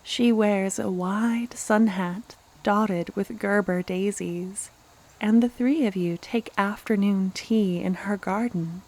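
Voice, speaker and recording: A woman reading scripted fantasy narration for ASMR in a soft voice. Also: medium pitch, fairly even intonation, slow, a little hiss or hum, rough recording. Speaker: ASMR roleplay creator and narrator.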